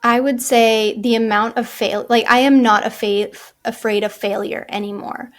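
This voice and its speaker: Architect woman as chatty guest, thirties, slightly high-pitched, loose and rambling. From Czech Republic, raised in California. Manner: chatty, fillers and restarts, frequent "like" and "you know". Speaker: guest, architect and firm founder. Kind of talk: casual interview chat.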